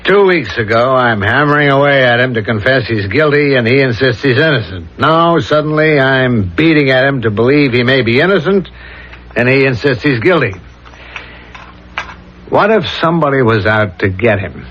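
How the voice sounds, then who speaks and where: A man plays a dogged police inspector in a vintage radio mystery. He probes deliberately and methodically at a middling pitch, on a dull, lo-fi broadcast recording. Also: expressive, a bit bright, slight background noise, natural voice. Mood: reasoning aloud. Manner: probing, deliberate, methodical questioning. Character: dogged male police inspector, probing and reasoning aloud. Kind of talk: 1970s radio drama acting.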